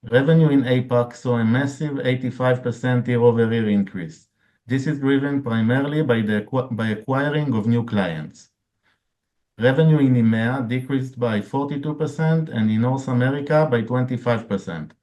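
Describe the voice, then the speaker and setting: A man's voice: mid-range voice, a little mellow. A man speaking as a finance chief, formally reading out figures from a scripted financial report on an earnings call. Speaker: chief financial officer presenting results.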